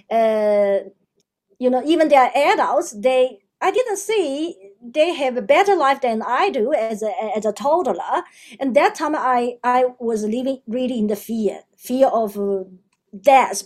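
Podcast guest: a woman, Chinese-accented English, slightly high voice, hesitant phrasing with frequent restarts. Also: a little mellow. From China. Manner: halting, many fillers and restarts. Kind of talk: interview answers.

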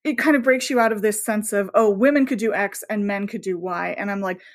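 A woman's voice: mid-range voice, fairly quick. A woman novelist talking casually as an interview guest, enthusiastic and rambling, often voicing her own thoughts as asides.

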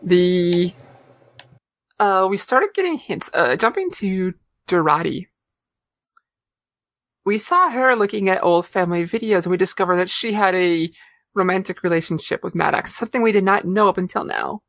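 A woman's voice: on the low side, animated, normal speed, a bit bright, no echo. A woman co-hosting a podcast, chatting casually, with frequent laughs, fillers and restarts. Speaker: podcast co-host. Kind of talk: casual chat.

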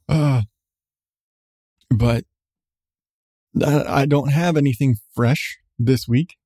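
A middle-aged man hosting his podcast with casual, profane rambling and frequent laughs in a calm voice. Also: medium pitch, very slow, pauses often, breathy edge, dry recording, clean studio sound. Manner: profane, candid, laughs often. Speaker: podcast host. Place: seated at home on a creaky chair.

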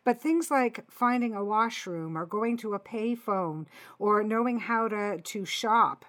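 Casting: middle-aged Canadian woman, interview host, friendly chatty delivery, mid-pitched. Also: lively intonation, unhurried, a bit bright. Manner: chatty, agreeable, laughs often. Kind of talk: casual interview chat.

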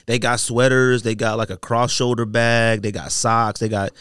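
A man hosting a podcast, bantering casually with plenty of slang and emphatic drawn-out words. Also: mid-range voice, little pitch movement, fairly bright tone, smooth, no echo, clean studio sound. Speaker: podcast host. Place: podcast studio.

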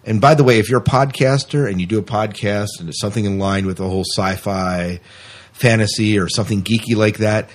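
Low-voiced male podcast host. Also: fairly even intonation, fairly quick. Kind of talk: casual co-hosted podcast chat. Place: small closed room.

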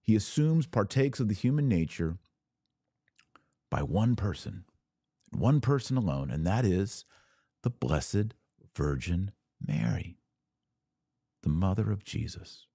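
A man with a low voice hosts a religious podcast in an informal, emphatic way. His pitch moves in lively swings as he stresses and repeats key phrases. The sound is close and dry. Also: takes it slowly, long pauses, slightly rough, dry recording.

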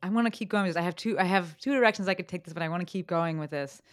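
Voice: mid-range voice, rapid-fire. A podcast host asking questions in an upbeat, affirming way. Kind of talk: casual interview talk.

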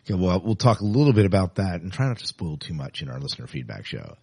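A man's voice: sits low, big pitch swings, quick pace, vocal fry, dark tone, breathy edge, no echo. A man hosting a podcast in a casual, chatty and enthusiastic way. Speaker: podcast host.

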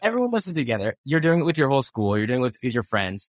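A man co-writing a book, upbeat and quick to laugh in a casual interview. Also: mid-range voice, sing-song, dry recording, clean studio sound. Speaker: guest co-author.

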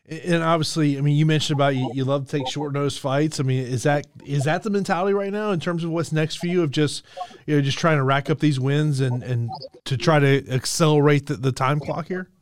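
A man's voice: on the high side, fairly quick. A man hosting an interview, asking questions hesitantly and repeating words as he frames them. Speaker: podcast host interviewer.

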